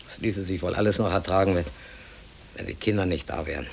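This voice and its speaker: An actor playing a despairing middle-aged unemployed man who pleads haltingly, in a German radio play. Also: sits low, creaky, slight background noise. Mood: weary despair.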